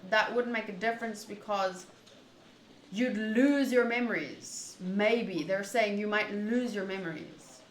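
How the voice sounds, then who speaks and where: A woman streaming a visual novel, reading text aloud and thinking out loud in an animated, rambling way. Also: medium pitch. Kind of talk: reading game text aloud with casual commentary. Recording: roomy echo, faint background noise.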